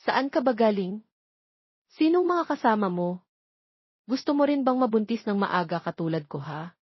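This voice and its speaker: A woman's voice: medium pitch, sing-song, normal speed, breathy edge, no echo, highs cut off. A woman voicing a strict, worried mother in a romance story, stern and questioning. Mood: angry, scolding. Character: strict, worried mother scolding her grown daughter.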